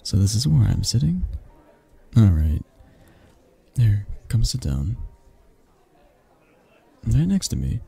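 A man playing a possessive, flirtatious mafia boss in a romantic audio roleplay, husky and gravelly, speaking with intimate condescension and long pauses. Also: on the low side, wildly swooping pitch, mellow, exaggerated voice. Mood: teasing, flirtatious. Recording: music behind the voice. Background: background music.

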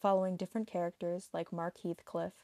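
Woman doing video explainer voiceover, kinda low and relaxed, very dry sound. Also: a little fast, dry recording. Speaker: narrator of an online video. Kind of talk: scripted explainer narration.

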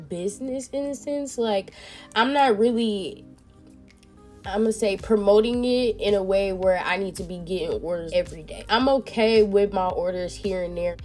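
A woman's voice: medium pitch, darkish tone. A young woman with a congested, stuffy nose, chatting casually to viewers through a nail tutorial. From Texas. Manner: chatty, addresses viewers as y'all. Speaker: nail technician.

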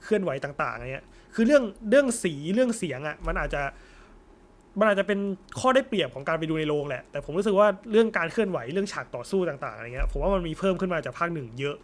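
Young guy, pretty high voice, informal anime chat with the odd swear. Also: very animated, quick pace. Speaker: anime discussion co-host. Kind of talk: casual post-film chat.

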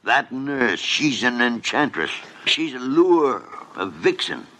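An actor playing a crusty, irascible senior doctor in a 1950s radio drama, blustering and cantankerous. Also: male voice, medium pitch, big pitch swings, slow pace, sharp tone, a little hiss or hum, heavily acted. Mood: aggrieved, dramatic. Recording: old 1950s radio broadcast.

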